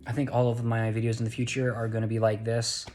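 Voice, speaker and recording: A man's voice: medium pitch, completely flat delivery, fast, darkish tone, slight background noise. Tired young American man vlogging. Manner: rambling, self-correcting, earnest. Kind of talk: casual vlog monologue. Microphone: handheld phone.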